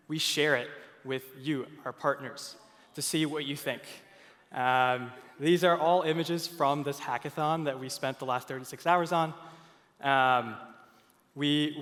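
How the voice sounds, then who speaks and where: A man's voice: on the high side, fairly bright tone. A young man from Finland giving an earnest conference stage presentation, with frequent fillers and restarts. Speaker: product speaker at a conference. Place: large conference hall. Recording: long hall reverb.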